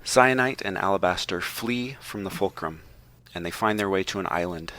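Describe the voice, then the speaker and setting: A low-pitched man with a bright, sharp tone reads a prepared summary on a podcast. His delivery is tongue-in-cheek, dropping playful asides between plot points. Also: normal speed. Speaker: podcast co-host reading a book synopsis.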